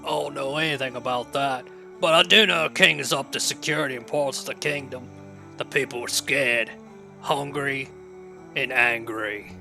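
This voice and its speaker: Man acting overworked blacksmith, very sharp gravelly voice, blunt, grumbling, fantasy audio drama. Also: mid-range voice, normal speed, soft background music. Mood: wary, bitter. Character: weary, disgruntled overworked male blacksmith, gruff and plain-spoken.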